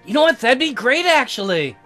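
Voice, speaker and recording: A man acts out a young colonist wife from a visual novel game. The voice is high and girlish, animated and chirpy, turning testy easily. Music plays underneath. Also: a little fast, exaggerated voice. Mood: friendly. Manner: high-pitched girlish put-on, narrating and bickering. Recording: music behind.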